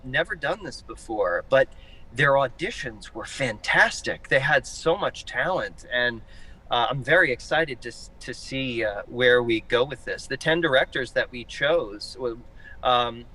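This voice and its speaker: A man's voice: medium pitch, bright, forceful tone, poor audio. A man giving enthusiastic, explanatory answers as a guest in a live interview. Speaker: interview guest, theater artistic director.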